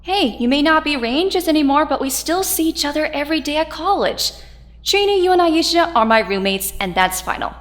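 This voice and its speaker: An actress plays an affectionate, bubbly young student in an audio drama. Her voice is high and very lively in pitch. It rings in a reverberant hall. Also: a little fast. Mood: affectionate, insistent.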